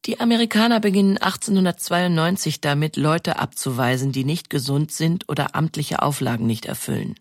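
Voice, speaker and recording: A man's voice: a little high, fairly quick, few pauses, no echo. Dry, close male documentary narrator. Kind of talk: scripted narration.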